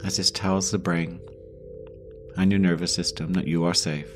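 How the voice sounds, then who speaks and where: Low-voiced man guiding a slow meditation. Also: fairly even intonation, over music. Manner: slow, pausing, gentle instruction. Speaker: meditation guide. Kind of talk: guided yoga nidra meditation.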